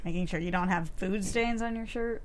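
A woman's voice: medium pitch, brisk, slight background noise, close to an everyday voice. A woman playing a sarcastic, blunt, foul-mouthed female executive in a comedy table read. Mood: wry, doubtful. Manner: sardonic, blunt.

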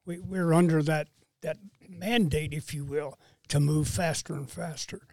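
A man in his seventies talks in an unhurried, plain-spoken way. His voice is gravelly and sits a little higher than most men's. The recording is close and dry. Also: steady tone, a little mellow, dry recording. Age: 70s. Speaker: podcast guest, host's father. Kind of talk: casual conversation.

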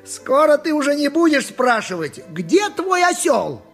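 An actor in a radio play playing a boastful, tipsy donkey driver, a rough, wheedling husband with a theatrical, mocking manner and a husky voice. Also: male voice, extremely high pitch, big pitch swings, a little slow, soft background music, full character voice. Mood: wheedling, crowing. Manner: theatrical, mocking, swinging between crowing and muttering. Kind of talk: radio play acting, 1980s.

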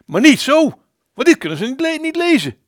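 A man playing an excitable, boisterous wartime comrade who shouts his schemes in a radio play. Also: extremely high pitch, fairly quick, no echo, clean studio sound. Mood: gleeful, urgent.

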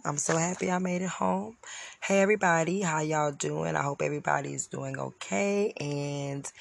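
A woman's voice: sits low, vocal fry. A woman hosting a casual solo podcast, sick with a scratchy voice, talking bluntly and directly to her listeners. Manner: blunt, animated and preachy, addressing listeners directly. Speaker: podcast host. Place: parked car. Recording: noisy car recording.

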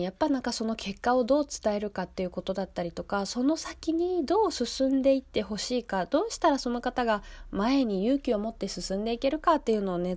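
A woman's voice: on the high side, a little mellow, no echo. A young woman with a slightly stuffed-up, nasal voice, chatting politely and casually as the host of a radio show. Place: radio studio.

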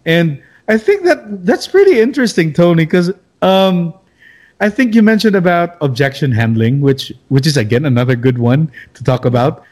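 Filipino male host, slightly high voice, chatty and affirming. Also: animated, moderate pace, darkish tone. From Philippines. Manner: encouraging, many fillers and tag questions. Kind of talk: casual interview chat.

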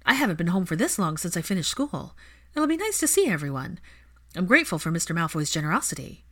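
A woman's voice: lowish voice, sing-song, fast talker, a bit bright. A woman voicing an earnest, grateful young witch with a polite, emotional manner in a story reading. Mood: pleased, grateful.

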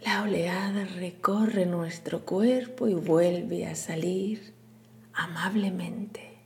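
A woman leading a guided meditation in a soothing, hushed, breathy delivery with long pauses. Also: lowish voice, fairly even intonation. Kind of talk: guided meditation, scripted narration.

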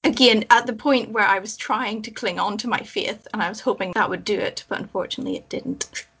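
Podcast guest: Northern Irish woman, husky voice, articulate, candid and wry, on slightly dull lo-fi audio. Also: mid-range voice, steady tone, fairly quick, a bit bright. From Belfast, Northern Ireland. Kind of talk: conversational personal account.

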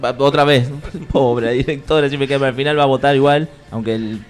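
A man with a Rioplatense Argentine accent hosts a mock awards gala over faint music. His mid-pitched delivery is informal and teasing, the banter of improvised radio comedy. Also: steady tone, normal speed, clear, resonant tone. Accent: Rioplatense Argentine Spanish.

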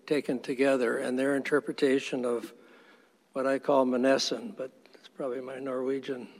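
A man arguing as attorney for the respondent before an appellate court, formal and measured, respectfully firm when he disagrees. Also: medium pitch, flat delivery, a little mellow. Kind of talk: appellate oral argument. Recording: long courtroom reverb.

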